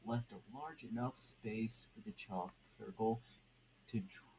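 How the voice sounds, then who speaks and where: Male amateur fiction narrator: slow, stumbling delivery, faint noise on a home recording. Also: fairly low pitch, mellow, rough recording. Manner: halting, stumbles and repeats words. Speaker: podfic narrator.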